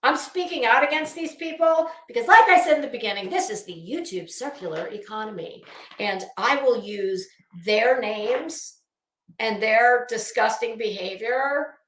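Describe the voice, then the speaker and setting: Woman, livestream opinion host, high-ish pitch, unhurried pace, emphatic scornful delivery, unscripted. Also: lively intonation, fairly bright tone. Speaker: YouTube channel host. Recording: roomy, echoing sound.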